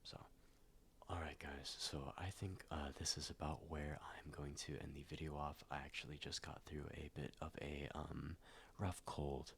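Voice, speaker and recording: Young male ASMR reader, very low and gravelly, congested and a bit nasal, soft and hesitant with frequent whispers. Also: level tone, slight background noise. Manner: soft, slow, many ums and likes, drifting into whispers. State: stuffed up, slightly nasal after a cold. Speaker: ASMR YouTuber. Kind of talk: soft-spoken ASMR reading.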